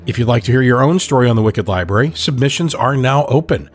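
A man hosting a podcast, reading scripted closing credits in a brisk, projected way. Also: medium pitch, expressive, faint music behind. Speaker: podcast host. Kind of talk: scripted show credits announcement.

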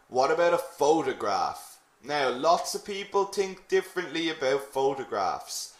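A man's voice: mid-range voice, echoey room. An Irish man teaching a lesson on CV writing, chatting with the class and checking in with frequent "okay?" questions. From Ireland. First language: English. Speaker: English teacher.

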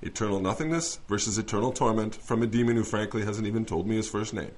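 A man's voice: on the low side, fast, lightly acted. Man playing wry, weary lawyer, noisy background. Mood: wry, muttering. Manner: wry asides, formal when addressing the court. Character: weary, cynical celestial defence lawyer, adult man. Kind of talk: audio drama acting.

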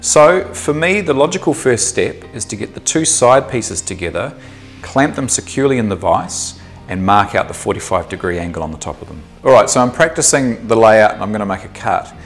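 A man in his thirties with a New Zealand accent, explaining a woodworking project step by step in a workshop, relaxed and thinking aloud with the odd um. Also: fairly low pitch, fairly quick, faint music behind. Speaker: woodworking instructor. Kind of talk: workshop tutorial. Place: small indoor workshop.

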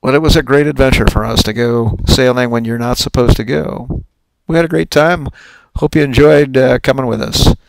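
Gravelly-voiced older man narrating a sailing trip. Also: mid-range voice, normal speed, few pauses, no room sound, low-quality recording. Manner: relaxed, folksy with fillers. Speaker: sailing charter captain.

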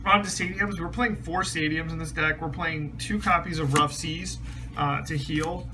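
A man's voice: a little high, steady tone, normal speed, over music. A man casually explaining a trading card deck, rambling with many ums and restarts, his voice a bit strained. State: slightly losing his voice, clears throat. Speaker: card game deck reviewer.

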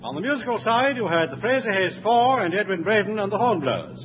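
An English man announces in 1960s broadcast style. His voice is high and lifts with wide, animated swings. The vintage recording is dull and noisy. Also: quick pace. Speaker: radio announcer.

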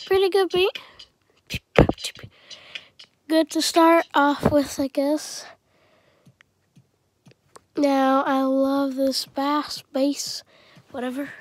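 Slow-talking stuffy-voiced woman reviewer. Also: high voice. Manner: rambling, chatty, clears throat often. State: stuffy from a cold. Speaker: channel host reviewing a music game. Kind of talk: casual game review.

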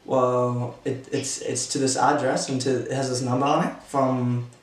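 A man's voice: medium pitch, little pitch movement, measured pace, far from the mic. A young man, chatting casually in an informal, slangy way as a vlogger. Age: young adult, late teens. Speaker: vlogger making prank calls. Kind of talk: casual chat to camera and on the phone. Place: small indoor room at home. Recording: roomy, off-mic, music behind.

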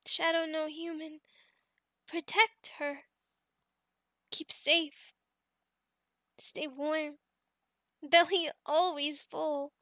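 Very high-pitched, sweet goblin girl. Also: little pitch movement, sharp tone, no room sound, exaggerated voice. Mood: proud, affectionate. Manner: halting broken speech, refers to herself in third person, giggly. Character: sweet young adult female goblin, shy, devoted, childlike. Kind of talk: ASMR roleplay.

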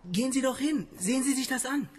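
A man's voice: very high-pitched, fast talker, a little mellow, slight background noise. An actor playing an earnest, insistent and inquisitive young man in radio drama dialogue. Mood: urgent.

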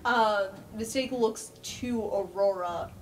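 A woman's voice: medium pitch, slow, crowd noise. A woman playing a tabletop roleplaying game, speaking casually as she improvises. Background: music.

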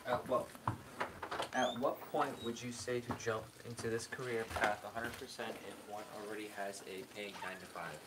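A man reads out viewer questions in a flat, even tone. The sound is roomy and echoing, with clear noise underneath. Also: on the low side, normal speed. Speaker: viewer comments read aloud. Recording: echoey with clear background noise.

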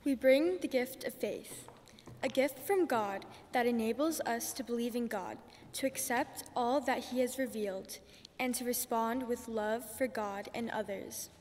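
A woman's voice: a little high, measured pace, darkish tone, a little hiss or hum. A teenage girl, a graduating student, reading a prepared ceremony speech at a podium microphone. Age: teenager, about 14. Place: large church, indoors. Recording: church hall reverb, podium microphone.

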